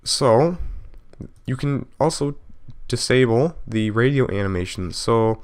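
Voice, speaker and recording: A man narrating a software tutorial casually, explaining step by step in an informal way and correcting himself as he goes. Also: medium pitch, animated, a little slow, clear, resonant tone. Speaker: video tutorial host.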